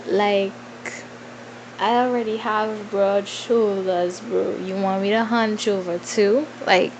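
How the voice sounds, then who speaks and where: A woman's voice: medium pitch, fairly even intonation, slow, dull audio, background noise. A woman in her twenties with a Trinidadian accent chats informally and playfully to followers in a casual Q&A, thinking aloud as she goes. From Trinidad and Tobago. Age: 20s. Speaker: vlogger.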